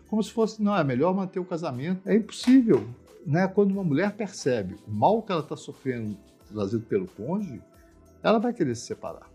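Brazilian man, Minas Gerais accent, mid-pitched and mellow, informal expert commentary, thoughtful but emphatic. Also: breathy edge. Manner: reflective, explanatory, emphatic, often checks in with tag questions. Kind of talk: informal spoken commentary on social issues.

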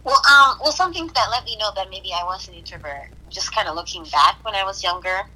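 A woman from Puerto Rico chatting casually on a podcast, hesitant, with restarts and soft laughs. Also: medium pitch, animated, fairly quick, low-quality recording. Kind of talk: casual conversation.

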